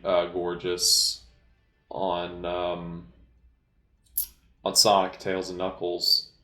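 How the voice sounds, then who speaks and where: Low-voiced man, halting, in an echoey room. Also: a bit bright. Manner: hesitant, halting delivery with trailing pauses. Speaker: amateur movie commentator. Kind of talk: casual unscripted film commentary.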